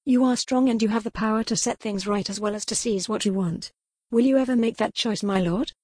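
Lady reader as a spirited captive noblewoman in a romance audiobook, earnest, bit raspy. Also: on the high side, a little fast, dry recording, studio quality, lightly acted. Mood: challenging. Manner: soft, earnest, reproachful. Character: captive young noblewoman, homesick, spirited, defiant lover. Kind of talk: romance audiobook character dialogue.

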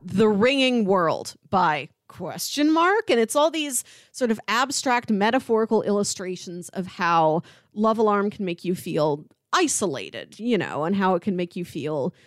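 A woman's voice: mid-range voice, measured pace, no echo, studio quality. A woman co-hosting a casual TV review chat, talking conversationally and peppering her sentences with "like". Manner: animated, conversational, frequent "like". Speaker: podcast co-host.

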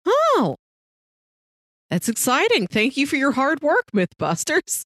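A woman's voice: sits high, huge pitch swings, slow pace, fairly bright tone, no room sound, studio quality. A woman co-hosting a podcast, chatting casually about films. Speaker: podcast co-host. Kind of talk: casual movie podcast chat.

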